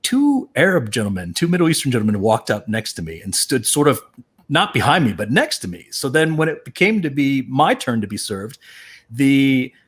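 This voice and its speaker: A man's voice: medium pitch, big pitch swings, normal speed, slightly rough. A middle-aged man on a discussion panel, an outspoken storyteller who acts out the voices of the people he quotes. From USA. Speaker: actor and panel guest.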